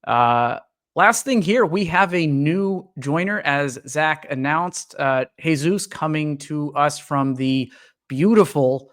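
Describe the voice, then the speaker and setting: A man's voice: on the high side, slow, a bit bright. Man hosting a casual podcast. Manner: friendly, filler-heavy, welcoming. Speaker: podcast host.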